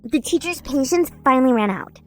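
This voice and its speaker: A woman narrating as an exasperated schoolgirl in a manga dub, lively and a bit sarcastic. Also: sits high, fairly quick, soft background music. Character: exasperated schoolgirl narrating her class's story.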